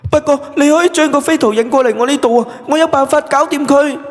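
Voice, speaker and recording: A woman playing a bashful yet bossy young witchcraft expert in a Cantonese audio drama, expressive and huffy. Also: high voice, quick pace, no echo, natural voice. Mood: earnest, knowing.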